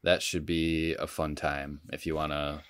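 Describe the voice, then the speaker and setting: A man's voice: extremely low pitch, little pitch movement, measured pace, a bit bright, clear, resonant tone. A man co-hosting a podcast in casual chat, with informal, laid-back phrasing. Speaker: podcast co-host.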